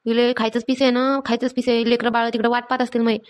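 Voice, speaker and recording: Cartoon village housewife, female actor: high-pitched, racing delivery, impatient nagger. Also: level tone, fast, clear, resonant tone, close to an everyday voice. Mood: exasperated. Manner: complaining, colloquial. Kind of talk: Marathi comedy cartoon dialogue.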